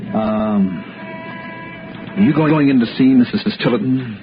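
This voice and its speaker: A man's voice: medium pitch, unhurried, lightly acted. A dull vintage radio recording with music behind it. A man plays a hard-boiled American male investigator, wry and dogged, telling his case in classic noir radio style. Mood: curious, guarded. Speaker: lead actor and first-person narrator. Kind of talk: 1960s radio noir acting and narration.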